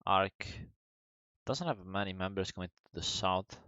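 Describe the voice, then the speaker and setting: A man who is not a native English speaker, commentating a competitive online shooter match in a relaxed, halting way with many fillers. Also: sits low, no echo, highs cut off. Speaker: esports caster. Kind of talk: play-by-play game commentary.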